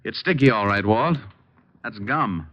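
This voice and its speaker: Cool, dry-witted radio detective; mid pitch, dull lo-fi old broadcast. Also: male voice, expressive, a little slow, fairly bright tone, dry recording. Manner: dry, wisecracking, coolly interrogating. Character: wisecracking male private eye, adult, cool and cocky. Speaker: private detective Richard Diamond. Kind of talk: 1940s radio acting.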